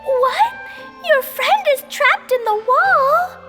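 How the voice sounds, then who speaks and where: A sweet little girl who talks in a very high, bouncy sing-song and takes her time over every word. She sounds eager and kind, and game music plays clearly under her lines. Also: animated, slow, big-room sound, exaggerated voice. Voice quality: bright childish sing-song. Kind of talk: game cutscene dub.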